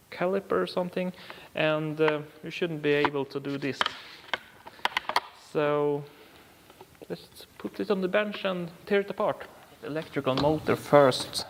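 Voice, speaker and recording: Slow, gravelly Swedish man in a workshop. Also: on the high side, big-room sound. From Sweden. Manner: halting, many ums and uhs, dry humor. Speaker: DIY car repair vlogger. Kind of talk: casual workshop commentary.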